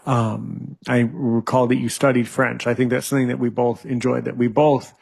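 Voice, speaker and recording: A man's voice: medium pitch, level tone, moderate pace, gravelly, darkish tone, breathy edge. A man in his thirties hosting a casual interview, hesitant and often repeating words as he thinks. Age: 30s. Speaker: host.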